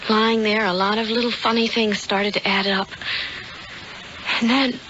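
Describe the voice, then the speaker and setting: A woman's voice: on the high side, steady tone, a little slow, natural voice. A woman playing a vulnerable, earnest young woman in a 1970s radio drama. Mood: shaken. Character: vulnerable young woman, shaken but sharp-witted. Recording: muffled, noisy old radio broadcast.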